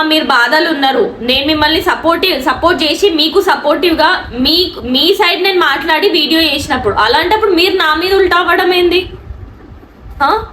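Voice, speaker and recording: A woman with a Telangana Telugu accent argues her side in an insistent, defensive way, dropping in English words. Her voice is high and sharp. She is recorded in an echoey room as she addresses a critic directly. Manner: indignant, defensive, insistent rhetorical questioning; mixes English words into speech. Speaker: video creator and online anchor. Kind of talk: spoken response video addressed to a critic. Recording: echoey room with faint background noise.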